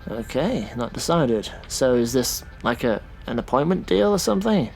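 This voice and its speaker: A man plays a male taxi driver in a sci-fi audio play. He is easygoing yet opinionated, talking casually in a mid-pitched voice with small hesitations. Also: big pitch swings, moderate pace, gravelly, a little mellow, soft background music. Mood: curious, wary. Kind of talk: science fiction audio drama acting.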